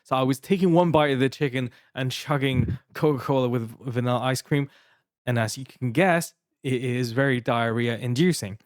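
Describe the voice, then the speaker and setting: A Taiwanese-accented man in his thirties spins a rambling anecdote, full of restarts and little laughs. His voice sits at an ordinary middle pitch. The recording is dry and close, with no room sound. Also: moderate pace, no echo. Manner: rambling, many restarts and fillers, laughing while telling. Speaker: podcast co-host. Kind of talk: casual storytelling.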